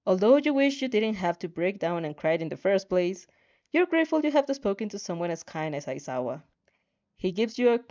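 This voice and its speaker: A woman narrating a story in an expressive amateur storytelling style. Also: medium pitch, lo-fi sound. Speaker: fan fiction reader.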